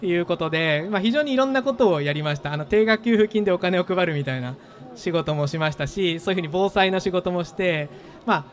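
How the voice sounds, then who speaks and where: Guy in his 30s, fast and kinda high, handheld mic at a trade show stage, lots of um and well. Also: expressive. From Japan, Gifu. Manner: quick, thoughtful, many hedging fillers, laughs easily. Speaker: guest, startup founder. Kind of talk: stage interview at a trade expo. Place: expo stage, indoors.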